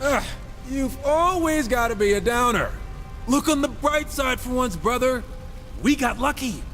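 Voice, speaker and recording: A man's voice: extremely high pitch, expressive, a little slow, a bit bright, faint music behind, full character voice. A man acting a wisecracking, cocky horseman with a sarcastic, teasing manner in a video game cutscene. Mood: wry banter. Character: wisecracking, cocky horseman, brash younger-brother gunslinger type.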